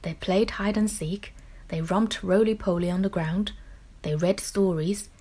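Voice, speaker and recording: A woman reading a children's picture book aloud in expressive, deliberate narration with dramatic phrasing. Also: mid-range voice, husky. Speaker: children's story reader.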